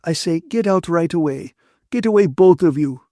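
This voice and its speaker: Man voicing a bitter, scolding woman, pitched high. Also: moderate pace, mellow, dry recording, studio quality, lightly acted. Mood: hurt, angry. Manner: accusing, emphatic, aggrieved. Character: bitter, scolding, tearful woman, indignant and wounded.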